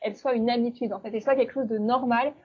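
A woman's voice: a little high, steady tone, fast, slightly rough, muffled recording. A woman from eastern France, a language teacher, chatting as an interview guest with many fillers and restarts. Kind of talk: casual interview talk.

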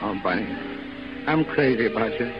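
A man's voice: on the low side, lively intonation, normal speed, over music, voice pushed down, close to an everyday voice. A man acting a devoted, self-mocking burlesque comic in a 1930s radio drama. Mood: lovesick. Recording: muffled 1930s radio broadcast recording.

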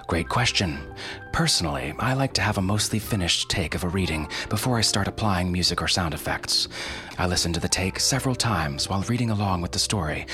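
A man hosting a podcast, reading out questions and giving scripted, explanatory answers. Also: low-pitched. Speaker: podcast host.

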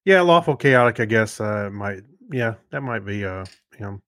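A man's voice: on the low side, animated, moderate pace, a bit bright, dry recording. Man chatting casually on a podcast, dry sound. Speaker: podcast co-host. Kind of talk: casual chat.

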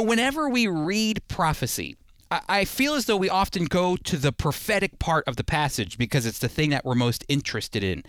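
A man's voice: high voice, a bit bright, no echo. A man hosting a radio program, teaching the Bible in a conversational, earnest and emphatic way, repeating phrases for emphasis.

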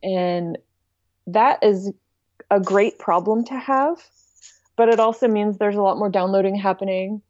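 Female podcast guest, soft dark tone, thoughtful and conversational, dry but slightly lo-fi recording. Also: medium pitch, a little slow, no echo. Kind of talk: casual interview answers.

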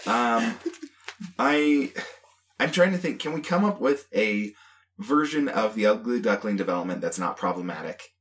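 Lively-pitched podcast panelist, casual. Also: moderate pace. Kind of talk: casual discussion.

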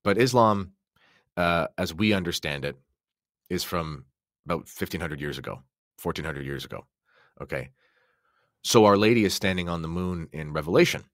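A man's voice: low-pitched, animated, moderate pace, pauses often, dry recording, clean studio sound. A man speaking as a podcast guest in an impassioned religious discussion, explaining emphatically and often posing rhetorical questions.

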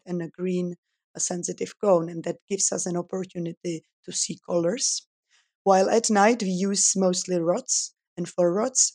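A woman from the Republic, a research scientist giving thoughtful interview answers with frequent pauses. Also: on the low side, darkish tone, dry recording, clean studio sound. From Czech Republic. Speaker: guest scientist.

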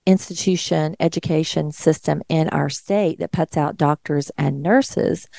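A middle-aged nurse from Georgia, hosting a monologue show and speaking earnestly and emphatically to her listeners. Her voice has stayed deeper since an illness. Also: creaky, dark tone, no room sound, studio quality. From Georgia, USA. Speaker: nurse and podcast host. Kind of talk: monologue commentary.

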